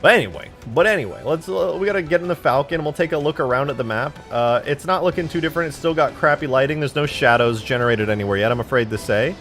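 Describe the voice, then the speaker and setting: A man's voice: a little high, quick pace, hardly any pauses, a bit bright, faint music behind. A man in his twenties chatting casually on a live stream while modding a game, rambling, joking and swearing freely. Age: 20s.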